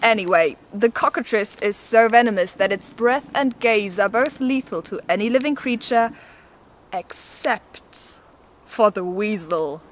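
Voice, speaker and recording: A woman hosting an educational video, reading scripted narration with casual asides in a playful, lightly theatrical way. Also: fairly high pitch. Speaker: video host presenting an educational explainer. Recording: noticeable background noise.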